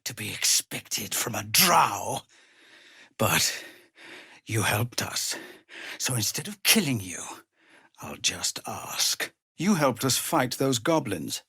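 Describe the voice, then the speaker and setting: Slow, weary tiefling ex-soldier. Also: male voice, medium pitch, very animated, pushed and bright, husky, dry recording, clean studio sound, full character voice. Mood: guarded, firm. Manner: measured, formal, earnest, grave. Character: weary, dignified tiefling ex-soldier leading refugees. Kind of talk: video game character dialogue.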